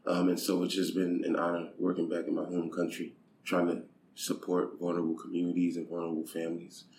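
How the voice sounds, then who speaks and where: Brief: low-voiced man from Haiti, interview guest, thoughtful with restarts, echoey room. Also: completely flat delivery, a little fast, darkish tone. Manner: thoughtful, repeats words while finding them. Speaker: guest, charity country director. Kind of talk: conversational interview. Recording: echoey room with faint noise.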